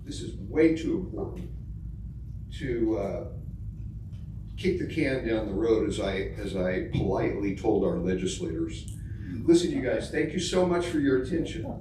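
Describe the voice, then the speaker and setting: A man's voice: on the low side, very animated, normal speed. A man giving an earnest, urging address to an audience. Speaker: speaker closing a talk. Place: indoor conference room. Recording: very echoey room, distant noisy mic.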